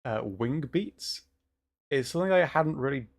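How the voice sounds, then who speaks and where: A man gives casual creator commentary as host of a listen-along. His voice sits at a medium pitch, and he is chatty but halting as he explains things. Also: lively intonation, creaky. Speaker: audio roleplay creator and host.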